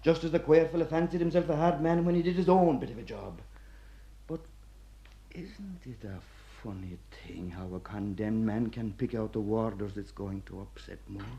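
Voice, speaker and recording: An actor playing an older Irish prison warder, gruff yet fatherly, lecturing wryly in a radio play. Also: fairly low pitch, moderate pace, dull audio, crowd noise.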